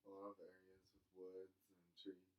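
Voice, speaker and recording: A man's voice: low-pitched, monotone, moderate pace. A man as a student, giving short answers in a lesson, recorded far from the mic.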